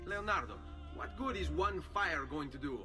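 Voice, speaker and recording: An actor playing a cocky, determined young assassin with an Italian accent in a video game. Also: male voice, a little high, expressive, normal speed, distant mic, lightly acted. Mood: dry, skeptical. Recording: reverberant game audio, music underneath. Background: game music.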